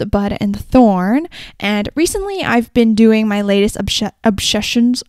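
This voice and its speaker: A young woman hosting her own podcast, chatting casually and rambling a little, her voice slightly raspy from a sore throat. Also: a little high, a little mellow, no echo, clean studio sound. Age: young adult. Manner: chatty and rambling, frequent "like" and "kinda". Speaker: podcast host. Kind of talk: casual solo podcast chat.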